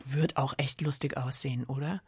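A woman's voice: very deep voice, steady tone, normal speed, dark tone, husky. A woman hosting a children's podcast in a playful, conversational presenting style. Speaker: host of a children's science podcast.

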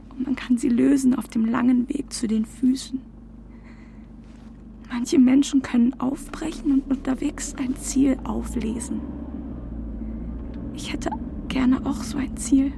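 Fugitive woman in radio drama, slow creaky whispery musing, traffic noise behind. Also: sits high, steady tone, full character voice. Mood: pensive, secretive. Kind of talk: radio drama inner monologue.

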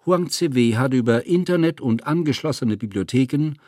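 A man's voice: medium pitch, lively intonation, normal speed, warm tone, no room sound, studio quality. A man narrating a scripted literary text in a formal, matter-of-fact way.

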